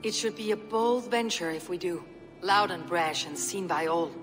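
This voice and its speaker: An actress playing a proud, blunt female Viking warrior in a video game, terse and measured. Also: fairly low pitch, over music, lightly acted. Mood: firm, resolute. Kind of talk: video game dramatic acting.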